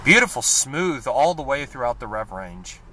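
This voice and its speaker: A man's voice: medium pitch, very animated, moderate pace, bright, forceful tone, a little hiss or hum. A man giving an enthusiastic, detailed car review, now and then correcting himself mid-sentence, recorded in and around a car. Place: inside and around a car, outdoors.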